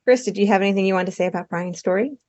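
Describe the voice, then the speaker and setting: A woman's voice: mid-range voice, very fast, a little mellow. Woman podcaster, slightly dull audio. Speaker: podcast co-host. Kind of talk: casual chat.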